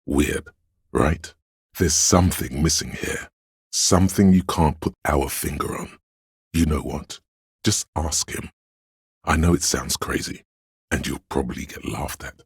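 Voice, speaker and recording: Grave omniscient inner-voice narrator for a detective game: extremely low, heavily rasped, measured and literary, close dry sound. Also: male voice, no echo, full character voice. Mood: intimate, musing. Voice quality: very deep gravelly husky rasp.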